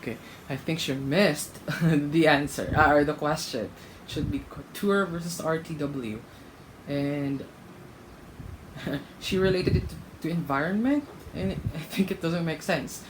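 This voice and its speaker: A man's voice: a little high, unhurried, slight background noise, rough recording. A man commenting casually as a reaction vlogger, frank and laughing between remarks. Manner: chatty, laughs mid-sentence, frank critique. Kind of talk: casual commentary, rating contestants.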